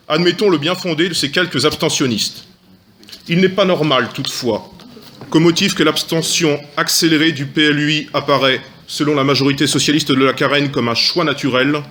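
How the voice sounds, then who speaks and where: A man's voice: on the high side, sharp tone. A man, an opposition councillor, delivering a prepared political speech in formal, literary phrasing. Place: council meeting room. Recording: faint background noise, clicks.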